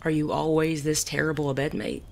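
An actor playing a suave, teasing male gentleman bandit in a Western audio drama, genteel and wry. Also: mid-range voice, little pitch movement, a little fast, vocal fry, slight background noise, natural voice. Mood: mock-polite. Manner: genteel, wry, polite wording.

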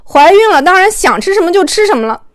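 High-voiced woman narrating an audiobook. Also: sing-song, fast, dry recording, clean studio sound. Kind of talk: expressive scripted storytelling, conversational.

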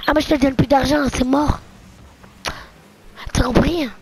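Young woman, sore throat, high unhurried voice, excitable game commentator who jokes a lot. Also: slight background noise. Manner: excitable, joking, chatty. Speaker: esports commentator.

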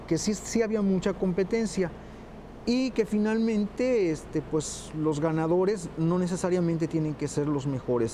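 Man with a mellow, slightly high voice. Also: slight background noise. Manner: calm, explanatory, frequent "este" fillers. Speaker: interview guest, music juror. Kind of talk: casual interview answer. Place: indoors on a music stage.

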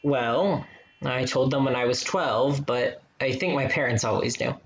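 Actor as a gentle, supportive young man. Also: medium pitch, measured pace, natural voice. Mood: reminiscing, gentle. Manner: reassuring, conversational. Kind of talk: radio play acting.

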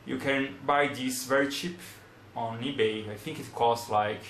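Slow-talking Brazilian man demonstrating at a desk. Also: medium pitch, steady tone, fairly bright tone, echoey room, slight background noise. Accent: Brazilian Portuguese. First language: Portuguese. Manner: unhurried, plain, searching for words. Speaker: hobbyist demonstrator. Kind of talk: casual how-to demonstration. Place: small room at a desk.

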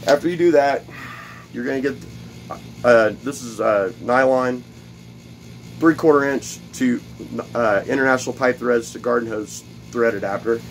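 A man talks through a build at his workbench, recorded close by a camera set on the bench. His voice sits in a plain middle pitch. He rambles casually, repeating words and filling pauses, and a faint workshop noise stays under his voice. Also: rough recording. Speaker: DIY video host. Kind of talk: casual workshop how-to demonstration. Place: cluttered home workshop at a workbench.

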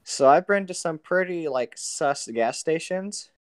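A man's voice: a little high, animated, a little slow, clean tone, dry recording. A man co-hosting in casual, joking chat with a slangy, rambling manner. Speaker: co-host.

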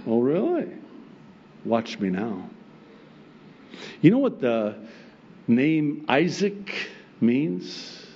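Middle-aged pastor preaching, often chuckling. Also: male voice, medium pitch, extremely slow, pauses often, slightly rough, lo-fi sound. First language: Arabic. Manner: animated, chuckling often, acts out God's replies. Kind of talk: sermon. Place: church stage with wood pulpit and plants, indoors. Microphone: pulpit microphone.

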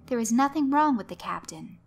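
A woman's voice: on the high side, lively intonation, a little fast, a little hiss or hum, voice pushed up. A woman voicing a strict middle-aged mother who scolds her daughter sharply and raises her voice. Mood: stern.

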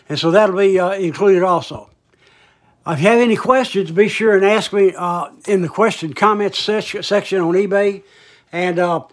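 Guy with a kinda high voice showing off something he's selling, folksy and a bit hesitant, rambles along. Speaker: online seller demonstrating vintage audio gear. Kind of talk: casual product demonstration.

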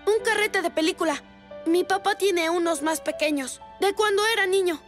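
A woman's voice: very high voice, normal speed, faint music behind. A woman playing a curious, eager young boy in a Spanish cartoon dub, with an inquisitive, earnest manner.

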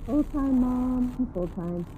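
A woman's voice: high voice, slow, phone-quality sound, slight background noise. A woman playing a chatty small-town niece reminiscing about her uncle in a folksy, rambling documentary interview. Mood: fond reminiscing. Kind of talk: acted documentary interview, conversational.

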